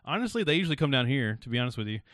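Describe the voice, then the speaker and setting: A man's voice: medium pitch, big pitch swings, rapid-fire, a bit bright, no room sound, clean studio sound. A man hosting a podcast, chatting casually and rambling with frequent laughs. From South Carolina. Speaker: podcast host.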